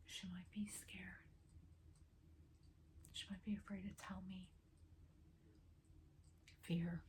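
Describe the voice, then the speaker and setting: A woman speaking in a hushed whisper to her viewers, gently and patiently pleading as she tries to reach a spirit from her home. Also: lowish voice, level tone, slow, slight background noise. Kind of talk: spirit communication vlog.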